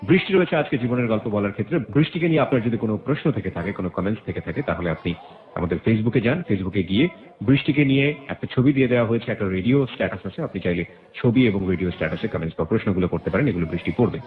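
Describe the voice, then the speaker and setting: A man's voice: lowish voice, rapid-fire. A man with a Bangladeshi accent hosting a radio interview, putting short, probing questions and acknowledgements to his guest. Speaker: radio show host. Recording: muffled, narrow phone-like band.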